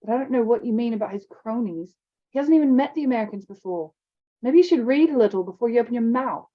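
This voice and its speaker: A woman's voice: on the high side, animated, fast, muffled recording, pitched above the performer's own voice. Woman doing a touchy schoolboy, audiobook reading. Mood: indignant. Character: boy student, quick to anger.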